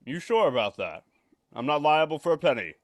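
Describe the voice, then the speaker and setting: A man's voice: on the high side, sing-song, a little fast. A man playing a penny-pinching, anxious property owner in old-time radio suspense style, curt, skeptical and fretful. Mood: grumbling, skeptical.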